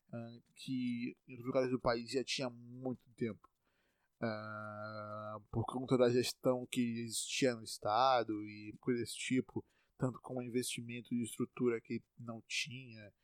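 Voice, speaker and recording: A man's voice: mid-range voice, no echo. Bahian man, twenties, loose rambling podcast monologue, profane and self-mocking, dry close sound. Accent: Bahian Brazilian Portuguese. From Salvador, Brazil. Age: 20s. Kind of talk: casual monologue.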